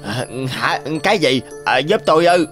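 A man's voice: a little high, lively intonation, normal speed, fairly bright tone, soft background music. A man voicing a sly, greedy cartoon tanuki who mutters hesitantly and whines when pleading. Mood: wary. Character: sly greedy thieving tanuki, gluttonous and wheedling. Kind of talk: animated cartoon dialogue.

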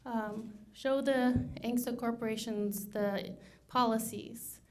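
A woman in her thirties with a soft voice, giving a persuasive conference presentation at a podium microphone in a university room, asking many rhetorical questions. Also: fairly high pitch, steady tone, takes it slowly, slight background noise. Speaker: conference speaker.

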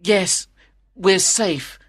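Anxious manservant played by a woman, husky, earnest, audiobook drama, dry sound. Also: fairly low pitch, very slow, no room sound, heavily acted. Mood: worried. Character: Passepartout, anxious guilt-ridden male servant.

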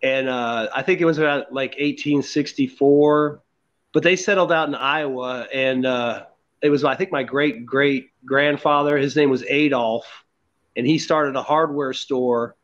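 A man's voice: mid-range voice, measured pace. Laid-back american guy on a call, muffled sound. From United States. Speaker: panelist. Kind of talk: casual video call chat. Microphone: video call.

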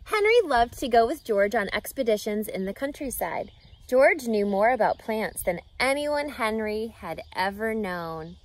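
A woman reads a children's story aloud, expressive and emphatic, addressing young listeners. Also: on the high side, measured pace. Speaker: reading teacher, storyteller. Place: outdoors in a grassy lakeside yard.

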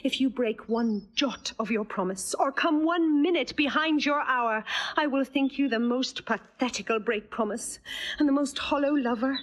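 A woman acting a witty young woman in love, teasing and playful in a stage drama. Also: high voice. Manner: playful, quick-witted, teasing. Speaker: actor playing Rosalind.

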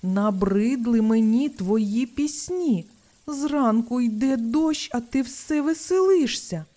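Woman voicing a haughty, high-pitched storybook frog lady. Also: animated, takes it slowly, mellow. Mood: annoyed. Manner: scornful, emphatic. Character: haughty, rude, vain female frog neighbour. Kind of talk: children's storybook character voice.

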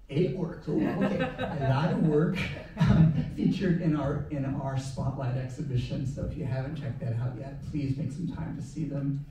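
Man with a dark voice in an echoey hall. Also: mid-range voice, normal speed, few pauses, far from the mic. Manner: relaxed, many ums and uhs. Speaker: panel moderator. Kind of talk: casual panel introduction. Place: museum auditorium stage. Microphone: handheld mic, far camera. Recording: echoey hall, heard from afar.

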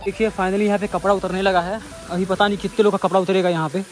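Male vlogger, twenties, from India. High voice, excitable casual commentary, outdoor phone recording over music. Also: fast talker. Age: 20s. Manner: excitable, addressing viewers and friends. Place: outdoors on a rocky hillside and waterfall. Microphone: phone at arm's length.

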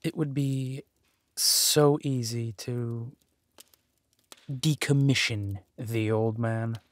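A man playing a ruthless, haughty duke in a villain audio drama, drawling deliberately and with menace. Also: mid-range voice, steady tone, dry recording. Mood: sly, scheming. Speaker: voice actor.

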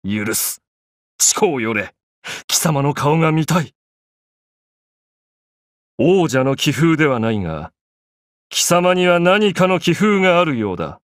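An actor playing an arrogant, grandiose young pharaoh in an anime game, declaiming in an imperious, pompous way. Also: male voice, on the high side, sing-song, slow pace, pauses often, no room sound, clean studio sound. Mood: haughty, intrigued.

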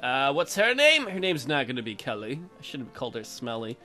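A man's voice: mid-range voice, sing-song, brisk, pushed and bright, soft background music. A man giving comedic let's play commentary, reading game text aloud in a goofy, crude, improvised way. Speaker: gaming video host.

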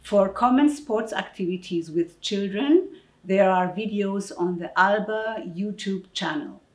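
A woman's voice: mid-range voice, a little mellow. Formal middle-aged woman presenter, echoey room. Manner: measured, formal, deliberate. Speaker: presenter of advice for parents. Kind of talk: scripted public information address. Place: indoor office room.

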